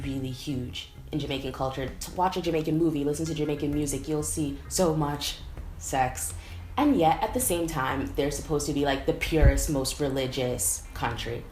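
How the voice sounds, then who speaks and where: Chatty young woman vlogging, echoey room. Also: low voice. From United States. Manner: chatty, animated, lots of "like" fillers. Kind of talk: casual vlog monologue. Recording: echoey room, faint background noise.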